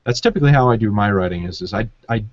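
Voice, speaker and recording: A man's voice: fairly low pitch, expressive, racing, no room sound, highs cut off. A man co-hosting a casual book discussion, thinking aloud in a hesitant way. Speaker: podcast co-host.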